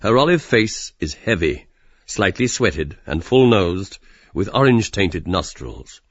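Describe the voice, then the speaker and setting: A man's voice: sits low, very animated, measured pace, highs cut off. A man reading literary stage directions as scripted audiobook narration.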